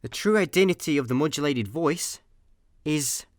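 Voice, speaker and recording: A man's voice: on the high side, animated, moderate pace, very noisy, natural voice. A man playing a laid-back, wry space layabout, droll and unimpressed, in a comic sci-fi radio play. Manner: droll, unimpressed, mock-dramatic.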